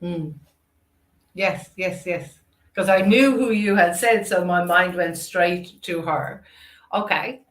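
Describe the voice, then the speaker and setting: An Irish woman hosts a casual online interview in a slightly low voice. She takes her time and thinks aloud, affirming as she goes. The room around her sounds noticeably echoey. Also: takes it slowly. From Ireland. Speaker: interview host.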